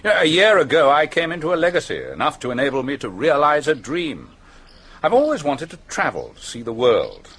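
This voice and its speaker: A wry British village doctor, polite and understated. His voice is husky and varied in intonation, in radio play style. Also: fairly high pitch, wildly swooping pitch, a little fast, fairly bright tone, full character voice. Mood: polite, wistful. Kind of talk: radio drama acting.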